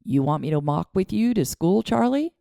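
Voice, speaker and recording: A woman's voice: on the low side, lively intonation, moderate pace, creaky, mellow, no echo, clean studio sound, voice pushed up. A woman voicing a friendly, good-humoured grown man who is a small-town mayor. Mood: jovial.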